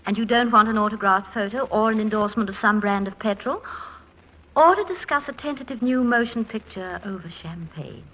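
An actress playing a poised, witty English stage star, in 1940s radio style. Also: female voice, medium pitch, animated, a little fast, rough recording. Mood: gracious, teasing. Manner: poised, gently teasing. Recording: vintage 1940s radio recording.

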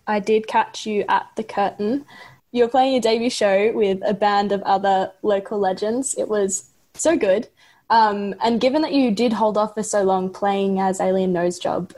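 A woman's voice: mid-range voice, darkish tone. A woman hosting a music podcast, asking a guest prepared, enthusiastic questions. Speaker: radio podcast host. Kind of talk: interview questions.

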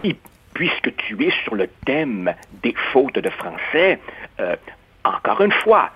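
A man from Uruguay speaking as a radio columnist, measured and expressive with stammering restarts. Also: mid-range voice, husky. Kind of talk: opinionated radio debate. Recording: dull lo-fi radio sound.

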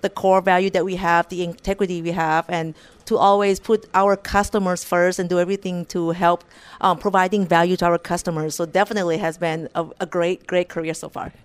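Woman, Thai accent, economist guest, earnest, repeats words, smooth tone. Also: lowish voice, level tone, fairly quick. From Thailand. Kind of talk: interview answers.

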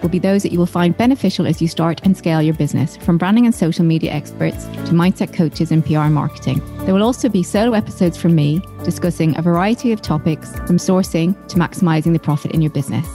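A woman's voice: on the low side, fast talker, hardly any pauses, dark tone, soft background music. An Irish woman hosting a business podcast, reading her intro and interviewing a guest. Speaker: podcast host and business coach.

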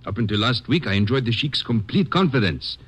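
An actor playing a discouraged former lieutenant of a sheik in a radio drama, speaking formally and earnestly. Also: male voice, mid-range voice, lively intonation, fairly bright tone, husky. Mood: troubled. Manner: formal, measured, uncontracted speech. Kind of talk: 1950s radio drama acting.

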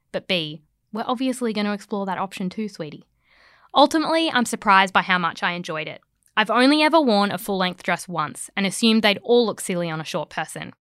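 A woman reading a scripted personal essay in a wry, conversational and expressive storytelling manner. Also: medium pitch, no echo, clean studio sound. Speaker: writer reading own article.

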